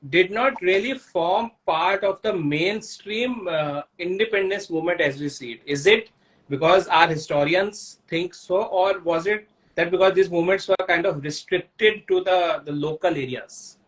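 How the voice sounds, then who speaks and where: A man's voice: sits high, moderate pace, rough recording. Man asking long, rambling questions. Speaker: host. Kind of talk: interview about books.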